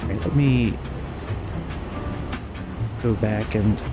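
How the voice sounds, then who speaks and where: A man's voice: fairly low pitch, takes it slowly. Muttering, profane man over background hum. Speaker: flight sim streamer. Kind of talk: casual livestream commentary while flying a simulator. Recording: clear background noise, vehicle-like hum.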